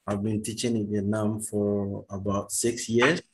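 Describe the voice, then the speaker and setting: A man's voice: lowish voice, flat delivery, unhurried, slightly rough, poor audio. A man in his thirties from Ghana, a teacher introducing himself on a video call. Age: 30s.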